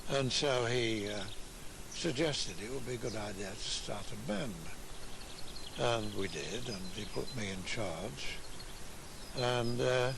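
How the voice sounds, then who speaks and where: A British man in his eighties, reminiscing in a chatty, unhurried way in a documentary interview. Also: medium pitch, vocal fry, noisy background. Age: 80s. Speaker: musician in an amateur band, interviewee.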